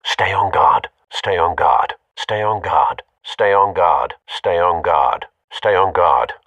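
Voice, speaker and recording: An actor playing a loyal older butler with a British accent in a video game, formal and dryly understated. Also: male voice, deep voice, takes it slowly, a bit bright, no room sound, recorded from a distance, full character voice. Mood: cautious. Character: refined, loyal, concerned older butler man.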